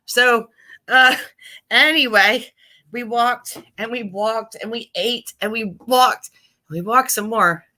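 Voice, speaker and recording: Woman storyteller, high bright voice, playful and rambling, improvised tale. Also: slow.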